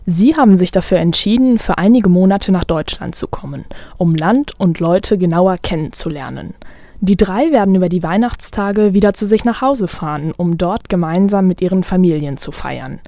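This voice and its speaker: A woman narrating a scripted radio feature as the reporter, in a calm, measured and clearly read delivery. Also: fairly low pitch, dry recording.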